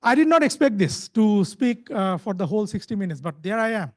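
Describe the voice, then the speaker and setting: Male conference presenter, strikingly high voice, stop-start delivery with many repeated words. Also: very animated. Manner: halting, repeats words and restarts phrases often. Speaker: conference speaker, company founder.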